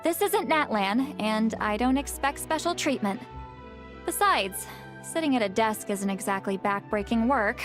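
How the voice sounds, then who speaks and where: High-pitched, grumpy little granny shaman. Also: animated, faint music behind. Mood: composed, then annoyed. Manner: theatrical, exasperated muttering, drawn-out words. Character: elderly female shaman, grumpy, proud, easily flustered. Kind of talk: video game voice acting.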